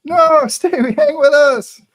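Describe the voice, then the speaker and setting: A man voicing excited, pleading boys of about eleven at a summer camp. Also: very high voice, slow pace, voice pushed up, heavily acted. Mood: eager pleading. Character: excited eleven-year-old boy campers pleading with a girl.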